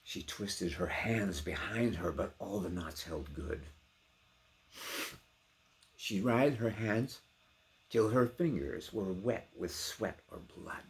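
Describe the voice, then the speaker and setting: A man giving a theatrical, declamatory poetry recitation with sharp contrasts between hushed and loud delivery. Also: sits low, takes it slowly, pauses often, poor audio. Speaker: poetry reader.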